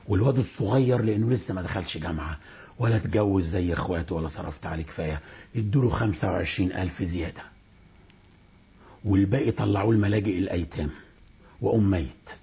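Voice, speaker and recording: A man voicing a faint dying man with a raspy voice. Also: low-pitched, voice pushed down, full character voice. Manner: faint, strained voice, struggling to speak. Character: dying older man dictating his will, weak. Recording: dull lo-fi recording.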